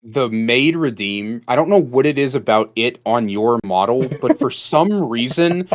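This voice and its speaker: A man's voice: medium pitch, animated, a little slow. A man in casual podcast conversation, blunt and sweary, given to emphatic exaggeration. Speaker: podcast participant.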